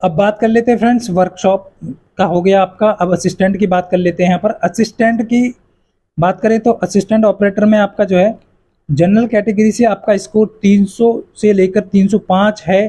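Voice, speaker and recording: A man's voice: high voice, fairly even intonation, moderate pace, dark tone. A man casually explaining exam cutoff scores to students, addressing them as friends, with a repetitive, reassuring manner and lots of fillers. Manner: repetitive, fills with "yahan par" and "jo hai". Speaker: exam coaching YouTuber.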